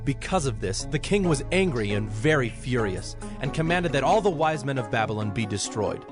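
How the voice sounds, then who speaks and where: A man narrating scripture from a script in a measured, solemn manner. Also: medium pitch, expressive, music in the background. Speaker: Bible narrator.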